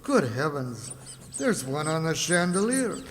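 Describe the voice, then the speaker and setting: A man's voice: a little high, sing-song, measured pace. A man playing a jovial merchant sea captain and doting father in a children's radio play, exclamatory and genial. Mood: genial, confident. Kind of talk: old-time children's radio play acting. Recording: reverberant hall with clear background noise.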